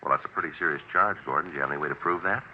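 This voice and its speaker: An American actor playing a stoic, businesslike police sergeant who narrates his case in clipped, deadpan 1950s radio drama style. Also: rumbling low, racing. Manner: deadpan, matter-of-fact. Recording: muffled, noisy 1950s radio recording.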